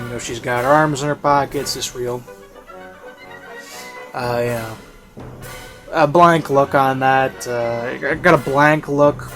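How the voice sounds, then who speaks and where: A man delivers an unscripted review in a casual, rambling style. His pitch sits in the middle of the usual male range. He speaks haltingly, often rephrasing as he goes, over a bed of classical music. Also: fairly bright tone. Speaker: online reviewer. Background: classical background music.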